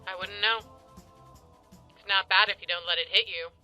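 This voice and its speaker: A woman playing a curt, sceptical soldier in an animated sci-fi series, speaking in a clipped, dry way. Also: mid-range voice, moderate pace, faint music behind. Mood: cool.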